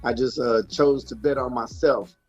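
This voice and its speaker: A man introducing himself in a relaxed, hesitant way with many ums. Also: medium pitch, normal speed, breathy edge, soft background music. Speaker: guest, DJ. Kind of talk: casual interview chat. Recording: dull lo-fi sound.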